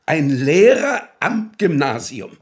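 An actor playing a skeptical, condescending state prosecutor in a radio play, speaking in a patronizing, pointed way. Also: male voice, on the high side, sing-song, slow pace. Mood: skeptical, reproving.

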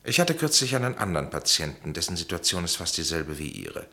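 An actor playing a blunt, dryly ironic, authoritative psychiatrist in a German radio play, with a deliberate, lecturing delivery. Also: male voice, low-pitched, big pitch swings, sharp tone, full character voice. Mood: calm, probing.

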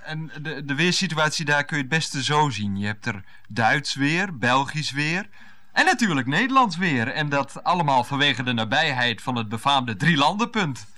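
An actor in a radio play, playing an evasive, flustered husband who explains himself hesitantly and with mock earnestness. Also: male voice, on the high side, animated, normal speed, bright, forceful tone. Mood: evasive, pompous. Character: evasive, flustered husband juggling wife and lover.

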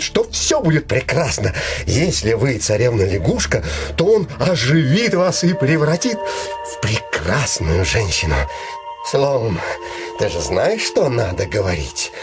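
An actor playing an earnest, dreamy young scholar in love, with halting, theatrical delivery in a radio drama. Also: male voice, mid-range voice. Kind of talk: stage-like radio drama acting.

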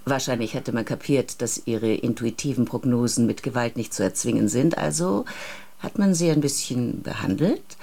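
An actress playing a composed, resolute rebel agent in a science-fiction radio drama, speaking in a measured, matter-of-fact way. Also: female voice, rumbling low, creaky, warm tone, heavily acted. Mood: calm, explaining.

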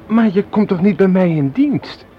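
An earnest young man in love, with a fairly high, very expressive voice. He sounds halting and pleading, a bewildered suitor in a radio drama, on a slightly hissy old recording. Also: lo-fi sound. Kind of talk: radio play acting.

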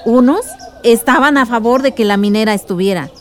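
An actress playing a warm, reflective aunt who recalls her village past in a nostalgic radio drama. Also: on the high side, a little fast, faint music behind, close to an everyday voice. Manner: nostalgic, storytelling.

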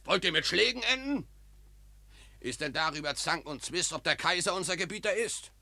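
A man's voice: sits high, lively intonation, normal speed, vocal fry, pushed and bright, no echo, exaggerated voice. An actor playing a bold soldier who rallies his comrades, declaiming rhyming verse in a classic radio play. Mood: rousing.

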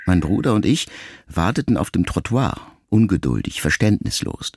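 A middle-aged actor playing a reflective grown son who addresses his dying mother in a measured, intimate radio-play monologue, with a gravelly voice. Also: low voice, sing-song, noisy background, full character voice. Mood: pained remembering. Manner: measured, introspective, intimate storytelling. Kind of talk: literary first-person monologue in a radio play. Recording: music under the voice. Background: music.

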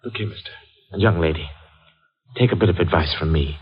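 An actor playing an agitated, frightened rebel brother in a 1940s radio thriller, husky and theatrical, urgently pleading and warning. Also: male voice, sits low, a little fast. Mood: taunting, warning. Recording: dull old 1940s radio recording.

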